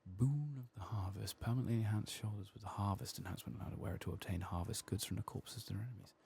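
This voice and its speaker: A man giving soft-spoken ASMR commentary as he plays a game, quiet and relaxed, murmuring to himself. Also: lowish voice, fast talker, vocal fry, slight background noise. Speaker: gaming ASMR host.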